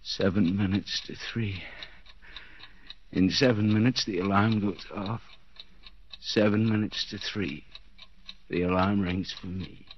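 An old, muffled radio drama recording with some noise carries an actor as a desperate man slowly losing his mind. He stammers and pleads in slow, overwrought bursts, trapped and bound. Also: lowish voice, fairly even intonation, close to an everyday voice. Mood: dazed, delirious. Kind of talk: 1940s radio suspense acting. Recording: dull, noisy 1940s radio recording.

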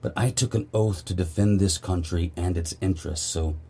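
Guy doing a gruff by-the-book army officer for a radio play, low n raspy, dry, bossy. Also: steady tone, normal speed, warm tone. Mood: measured, firm. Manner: dry, authoritative. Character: stern, dry, duty-bound male army officer. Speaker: commanding officer character. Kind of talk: audio drama dialogue.

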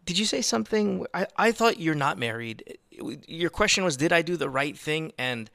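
A Filipino man hosting a call-in podcast, his voice bright and gravelly with a slight cough. He swings between excited bursts and hushed asides, and the sound is close and dry. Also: on the high side, moderate pace, no room sound. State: has a cough. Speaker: podcast host. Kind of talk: casual call-in chat.

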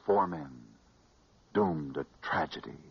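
An American man telling stories as a 1940s radio host, scripted and dramatic, with emphatic repetition and weighty pauses. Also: very deep voice, very noisy. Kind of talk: 1940s radio sports storytelling, scripted narration. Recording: muffled vintage 1940s radio recording. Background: music.

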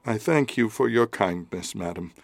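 A man's voice: fairly low pitch, expressive, moderate pace, creaky, no room sound. A man playing a weary, humble wandering stranger in a children's story, speaking politely and hesitantly. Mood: grateful, humble. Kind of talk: children's story podcast character acting.

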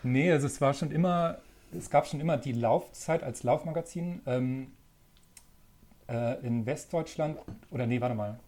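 A man in his thirties from northern Germany, a magazine editor answering podcast questions in a relaxed way, thinking aloud with many fillers. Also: mid-range voice, normal speed. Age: 30s. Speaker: magazine editor-in-chief, guest. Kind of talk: casual podcast interview.

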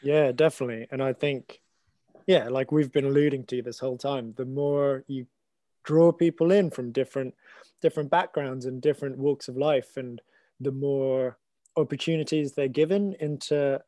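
A man's voice: medium pitch, fairly even intonation, normal speed, mellow, dry recording. A young man from Hong Kong hosting a podcast, earnest and loosely phrased. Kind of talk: casual discussion.

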